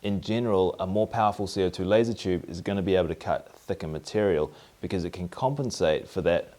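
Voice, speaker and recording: A man in his thirties with a New Zealand accent hosts a maker vlog from his garage workshop. He explains things upbeat and clearly while gesturing with his hands. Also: deep voice, darkish tone. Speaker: maker video host. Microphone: camera at mid-distance, framed from the waist up.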